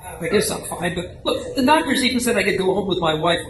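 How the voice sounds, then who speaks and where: A man's voice: sits high, expressive, fairly quick, crowd noise. A man acting a flustered, indignant hypochondriac husband who stammers and protests in an amateur radio drama reading. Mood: indignant, anxious. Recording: echoey room, noticeable background noise.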